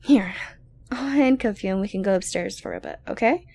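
A woman playing an obsessive, dominant yandere girlfriend in an ASMR roleplay, creepily tender and condescendingly sweet, breathy and often close to a whisper. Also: mid-range voice, darkish tone. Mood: sweet, upbeat. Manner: slow, coaxing, condescendingly sweet. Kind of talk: ASMR roleplay monologue to the listener.

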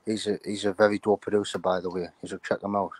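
A young rapper from the United Kingdom answering interview questions over a video call, mumbling with lots of "you know what I'm saying". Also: male voice, on the low side, level tone, quick pace, a little mellow, no room sound. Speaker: rapper guest.

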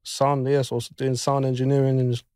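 A man's voice: medium pitch, completely flat delivery, fast, warm tone, clean tone, dry recording. A man talks as a podcast guest, easygoing and brief, with plenty of slang. His voice sits in a middle range. The recording is dry. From West London. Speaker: podcast guest, audio engineer. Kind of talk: casual chat.

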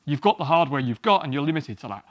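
Relaxed man giving a meetup tech talk. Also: on the high side, fast talker, no room sound. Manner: relaxed, self-deprecating, with frequent restarts. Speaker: conference speaker. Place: small meeting room, indoors.

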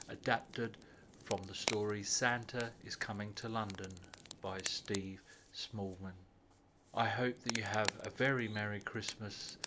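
A man reading a children's story as a storyteller, with expressive, measured phrasing and drawn-out words. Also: fairly low pitch, noisy background. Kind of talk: scripted children's story narration.

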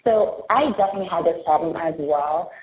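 A woman's voice: fairly low pitch, brisk, reverberant. A young woman with a Spanish and New York Bronx accent, candidly explaining branding tips step by step as an interview guest. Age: young adult. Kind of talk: interview answers. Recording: tinny phone-like audio.

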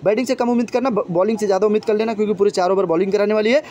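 A young man speaks extremely fast in a high, mellow voice. He chats informally to viewers as a fantasy sports tipster, addressing them like brothers. There is clear noise behind his voice-over. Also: steady tone, muffled recording. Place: voice-over on a phone screen recording.

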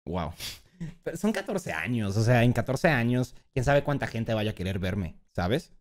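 A man's voice: medium pitch, normal speed. A man in his twenties from Colombia, chatting casually and jokingly on a livestream, with slang and swearing. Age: 20s. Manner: joking, expressive. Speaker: streamer reacting to a video about himself. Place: indoor streaming room.

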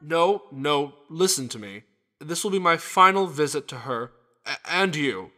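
A man's voice: a little high, expressive, normal speed, bright, forceful tone. A man voicing a suave, scheming immortal god in a cartoon, with a polite salesman's delivery. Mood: grave, weary. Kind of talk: animated web series dialogue.

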